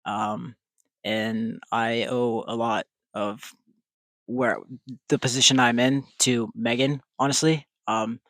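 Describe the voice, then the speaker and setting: A man answering jury questions as a game finalist, slow and halting with many ums. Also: medium pitch, little pitch movement, a bit bright, no echo.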